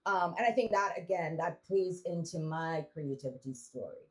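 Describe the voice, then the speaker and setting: Woman guest, lower voice, reflective storyteller with restarts. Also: expressive, moderate pace. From Trinidad. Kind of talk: casual interview conversation.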